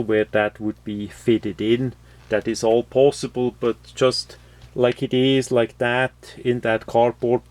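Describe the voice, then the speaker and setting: A man giving an unscripted, matter-of-fact product review, explaining things in a halting way with frequent pauses. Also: fairly low pitch, darkish tone. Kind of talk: unscripted gadget review commentary.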